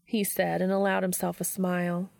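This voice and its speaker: A woman's voice: lowish voice, monotone, moderate pace, creaky, a little mellow, dry recording. A woman reading scripted fiction as a podfic narrator.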